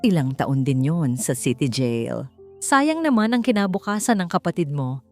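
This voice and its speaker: A woman voicing a menacing male restaurant boss in an audiobook, calm, chilling and taunting. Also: sits low, moderate pace, soft background music. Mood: cold menace.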